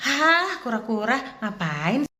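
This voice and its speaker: A woman's voice: a little high, sing-song, slow pace, pushed and bright, pitched above the performer's own voice, full character voice. A woman voicing a cocky, boastful young mouse-deer in a children's fable, exclaiming and dismissive. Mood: scornful, boastful.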